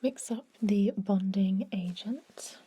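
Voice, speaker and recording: A woman doing a gentle ASMR roleplay as a dentist, mixing breathy whispers with soft, reassuring talk to a patient. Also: takes it slowly. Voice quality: breathy whisper mixed with soft low-volume speech. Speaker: ASMR performer playing a dentist.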